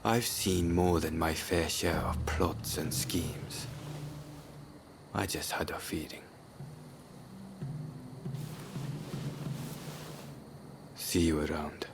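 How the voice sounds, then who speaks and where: An actor playing a grim, calculating, confident swordsman in a video game cutscene, speaking in a measured, formal way. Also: very deep voice, steady tone, over music, full character voice. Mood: cold, wry.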